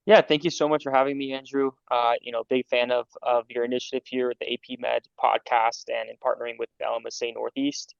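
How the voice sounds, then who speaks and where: A man's voice: medium pitch, flat delivery, vocal fry, smooth, no echo, muffled recording. A young man in his twenties, a medical student answering interview questions in a casual, friendly way, with many fillers and restarts. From Los Angeles, California. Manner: friendly, halting with fillers and repeats.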